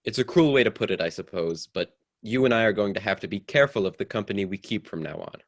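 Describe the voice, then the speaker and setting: A man playing a proper, cautious and ambitious husband in a radio drama, measured and mildly condescending. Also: fairly low pitch. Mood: mild disapproval. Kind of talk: old-time radio drama acting.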